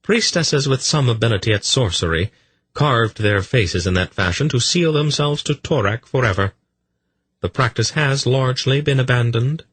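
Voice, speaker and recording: A man voicing a composed, knowing mature woman in a fantasy audiobook, explaining calmly. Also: mid-range voice, normal speed, husky, dry recording, pitched above the performer's own voice. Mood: calm, grave. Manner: calm, explanatory.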